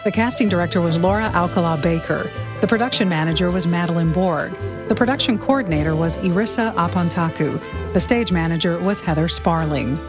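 A woman's voice: fairly low pitch, fairly quick. A woman reading scripted credits as an announcer. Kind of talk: scripted narration. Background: music behind the voice.

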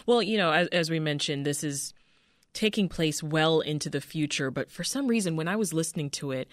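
A woman's voice: deep voice, expressive, fairly quick, no echo, clean studio sound. A woman hosting an interview, asking questions in an informal, playful, chatty way. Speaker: radio show host, interviewer. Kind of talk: casual chat, interviewing.

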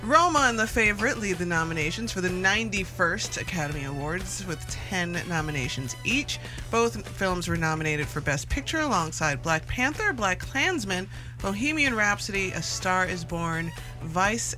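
A woman's voice: on the low side, lively intonation, moderate pace, over music. A woman hosting a casual radio talk from a studio, with informal, folksy phrasing. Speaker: radio host.

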